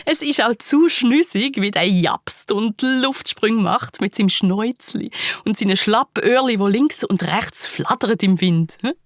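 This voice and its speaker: A woman's voice: mid-range voice, lively intonation, a little slow, no room sound, studio quality. A woman with a Swiss German accent narrates a scripted children's story in a playful, vivid way, with conversational asides to listeners.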